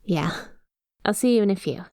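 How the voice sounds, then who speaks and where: A woman in an ASMR roleplay voicing an affectionate young lamia best friend, softly and intimately, with some hesitation. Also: mid-range voice, lively intonation, moderate pace, dry recording, studio quality, lightly acted. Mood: sheepish, concerned.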